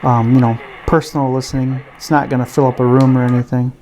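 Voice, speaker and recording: A man's voice: mid-range voice, flat delivery, quick pace, very noisy. A man giving a casual product demonstration, explaining things plainly and without hurry. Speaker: gadget reviewer.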